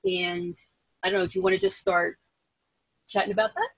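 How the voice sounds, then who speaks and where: A woman hosting a casual interview, speaking in a halting, enthusiastic way with frequent restarts. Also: mid-range voice, steady tone, husky, no echo, low-quality recording. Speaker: podcast host interviewing a guest.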